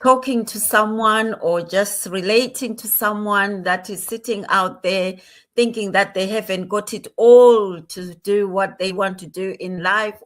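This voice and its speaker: A woman from Zimbabwe speaks in an earnest, encouraging way, explaining at length. Her pitch sits in a middle range for a woman. She sounds like a guest on a motivational online talk.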